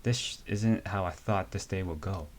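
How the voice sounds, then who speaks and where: A man reads in his own low voice as a wry woman soldier looking back on a tense rescue. The reading is plain and unpolished, with little change from his natural speech. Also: normal speed. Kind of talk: amateur dramatic reading of a comic.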